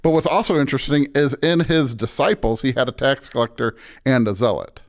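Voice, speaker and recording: A man's voice: medium pitch, a little fast. A man talking as a pastor in an interview, earnest and emphatic, often building his points through repeated phrases. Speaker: pastor, podcast guest. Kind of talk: conversational interview talk with preacherly stretches.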